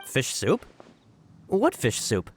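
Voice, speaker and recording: An animated, earnest junior agent. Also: male voice, mid-range voice, takes it slowly, a little hiss or hum. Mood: baffled, insistent. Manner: earnest, emphatic, deferential. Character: earnest, deferential male subordinate agent, easily flustered. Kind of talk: scripted video game voice acting. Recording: prominent music under the voice.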